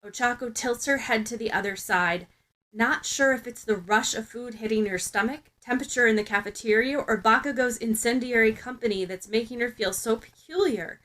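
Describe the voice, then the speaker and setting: A woman reading fiction aloud as an expressive podfic narrator. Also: fairly high pitch, normal speed.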